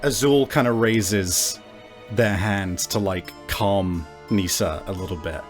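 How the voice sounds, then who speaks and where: A man's voice: on the low side, slow, soft background music. A man narrating a tabletop roleplay as game master.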